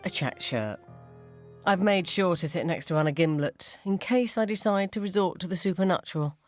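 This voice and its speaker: Wry, expressive woman reading a story. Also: deep voice, no room sound, studio quality. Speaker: short story reader. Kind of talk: first-person dramatised story narration for radio.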